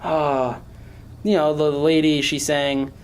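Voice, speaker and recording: A man's voice: on the high side, flat delivery, slow, smooth. Joking young man on a podcast. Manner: joking, rambling, breaks into off-key singing. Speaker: podcast co-host. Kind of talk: casual chat. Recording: faint background noise.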